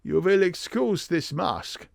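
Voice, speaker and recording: A man's voice: expressive, takes it slowly, gravelly, no room sound, clean studio sound, exaggerated voice. A man voicing an imperious, secretive Bohemian count with a harsh voice and a German accent, formal and emphatic, in an audiobook. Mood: grave, secretive. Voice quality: deep, harsh.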